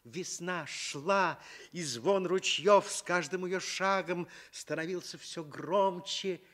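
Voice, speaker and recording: A man narrating a children's tale for radio with deliberate, expressive phrasing. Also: sits high. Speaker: storyteller.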